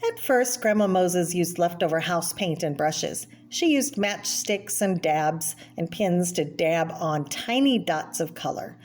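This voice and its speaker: A woman with a slightly low voice reads a picture book aloud for children. She explains as she goes, pointing out details and putting questions to the listener. Background noise sits behind her voice. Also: lively intonation, a little slow, few pauses, a little mellow. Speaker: read-aloud storyteller.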